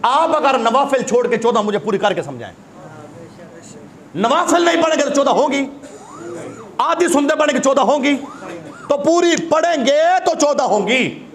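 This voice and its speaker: A man's voice: very high voice, animated, moderate pace, pauses often. A male preacher with a sore throat, giving an impassioned sermon that breaks into recited verse. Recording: echoey hall.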